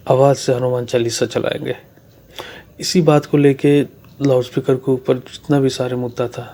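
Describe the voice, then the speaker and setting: A man's voice: mid-range voice, darkish tone. A man hosting a channel, delivering scripted news commentary with somewhat repetitive phrasing. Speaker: YouTube channel host.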